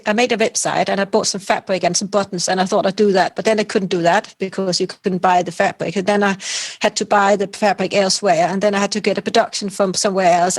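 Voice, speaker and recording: A woman's voice: medium pitch, no echo. Guest spot: young woman from Denmark, loose rambling talk, dry close mic. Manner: rambling, loose, ends points with tag questions. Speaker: potter guest. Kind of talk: casual interview chat.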